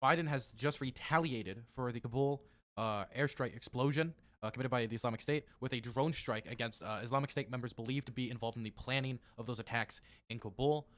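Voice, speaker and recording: Male moderator, brisk news-update read, hedges as he goes, dry mic. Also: medium pitch, fairly bright tone, no room sound. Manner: measured, hedging, some fillers. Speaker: roundtable moderator.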